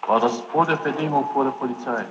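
A man's voice: mid-range voice, fast. A man cross-examining a witness with direct, insistent questions, recorded in a large courtroom on old tape. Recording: echoey hall, dull noisy archive tape.

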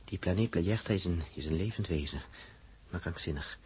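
Casting: blunt space crewman, low and very rough-husky, agitated, emphatic, vintage radio play. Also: moderate pace, a little mellow. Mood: agitated, scolding. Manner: emphatic, stammering repeats. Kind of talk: 1960s radio drama acting.

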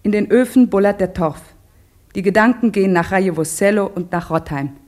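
An actress playing a settler woman who reads her diary in a measured, earnest way in a radio play. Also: mid-range voice, darkish tone, close to an everyday voice.

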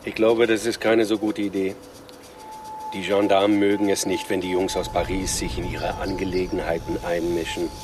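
An actor playing a calm, reserved police inspector in a radio drama, politely questioning in an understated way. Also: male voice, sits low, moderate pace, over music, natural voice.

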